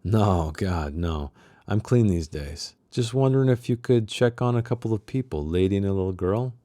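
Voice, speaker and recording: A man voices a secretive man in hiding from a noir novel. The voice is lowish, mellow and close, guarded and quietly earnest. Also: animated, moderate pace, no echo, natural voice. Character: secretive, troubled man believed dead, asking favors.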